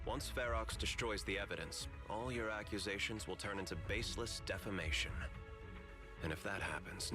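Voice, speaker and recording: An actor playing a suave, calculating corporate executive in a video game, speaking in a formal, measured, sardonic way. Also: male voice, lowish voice. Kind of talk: scripted video game dialogue.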